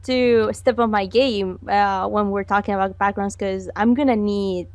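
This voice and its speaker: A young woman giving a casual interview over a voice call as an animator. She talks in halting bursts and laughs often. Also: medium pitch, fairly even intonation, darkish tone, a little hiss or hum. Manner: giggly, halting, speaks in bursts. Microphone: computer mic on a voice call.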